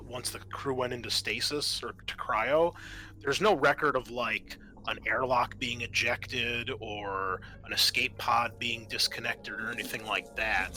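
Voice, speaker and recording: A man narrating an improvised tabletop game as game master, halting and often pausing mid-sentence. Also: mid-range voice, sharp tone, a little hiss or hum, rough recording.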